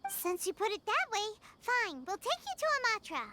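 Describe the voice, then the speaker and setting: Super high chirpy little fairy mascot from a game, swoops all over. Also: female voice, very animated, a little fast, background noise. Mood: earnest. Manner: chirpy, squeaky cartoon delivery. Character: small childlike fairy companion, chirpy, talks in third person. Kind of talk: video game voice acting.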